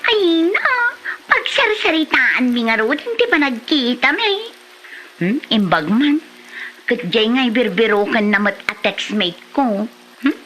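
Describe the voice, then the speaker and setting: A woman's voice: a little high, very animated, measured pace, a bit bright, slight background noise, rough recording. A woman acting a flirtatious, gossipy villager in an Ilocano comedy drama, with an Ilocano accent. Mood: curious, teasing.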